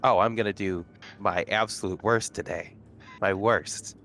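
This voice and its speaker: A man's voice: lowish voice, measured pace, gravelly, fairly bright tone, soft background music. A man playing in a tabletop game, chatting casually with sarcastic jokes and swearing. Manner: sardonic, joking, swears. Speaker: player. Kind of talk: casual chat.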